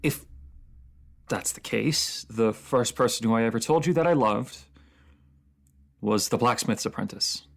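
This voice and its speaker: A man plays a captured ruler with a mercenary past in a fantasy audio drama. His mid-pitched voice has a heavy creak to it. He speaks in proud, formal phrases that can turn halting, with bitterness underneath. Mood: reluctant, wistful. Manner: halting, stammering when flustered, formal fantasy diction. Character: captive enemy ruler, proud and bitter former mercenary, male adult.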